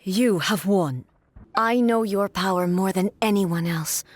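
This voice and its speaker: An actress playing a stoic immortal warrior ruler in a video game, speaking in a formal, measured and solemn way. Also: female voice, mid-range voice, no room sound, natural voice. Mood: solemn, reflective. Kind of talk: dramatic scripted video game dialogue.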